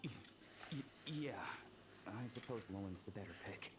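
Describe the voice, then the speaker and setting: An actor playing a timid young trainee medic with a hesitant, stammering manner. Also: male voice, fairly low pitch, animated, normal speed, close to an everyday voice. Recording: streamed game audio with noise.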